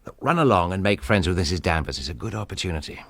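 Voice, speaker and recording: A man playing a well-bred husband and estate owner in a radio drama, with an English accent and a brisk, casual manner. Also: deep voice, very animated, creaky, fairly bright tone, full character voice. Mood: breezy, brisk.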